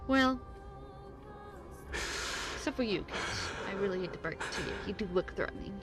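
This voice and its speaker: A woman chats casually through a game stream in an average-pitched voice. Game music plays clearly behind her as she comments on what happens. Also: expressive, moderate pace. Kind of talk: casual live gameplay commentary.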